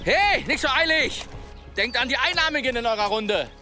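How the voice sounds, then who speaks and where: A man's voice: very high-pitched, animated, moderate pace, over music. A man playing a boastful, pious and grumbling one-armed demon hunter in an audio drama, with a theatrical, wry delivery. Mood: excited, calling out.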